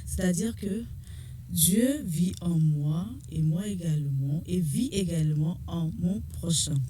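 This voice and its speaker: A woman's voice: fairly low pitch, unhurried, warm tone, a little hiss or hum. A woman co-hosting a radio show, speaking in a reflective, preacher-like way. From Togo. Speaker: radio co-host. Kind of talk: casual radio talk.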